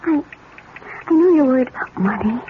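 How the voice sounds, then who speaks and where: An actress playing an anxious, tender young wife in a radio drama, tentative and solicitous. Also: female voice, high voice, expressive, a little fast, narrow, tinny audio. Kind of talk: 1970s radio drama acting. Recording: thin, noisy vintage radio recording.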